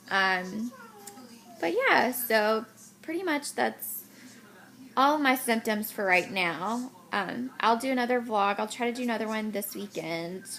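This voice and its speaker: Chatty young woman with a gravelly edge. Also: mid-range voice, fairly bright tone. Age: 20s. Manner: chatty, rambling with many ums. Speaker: vlogger. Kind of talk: casual chat to camera.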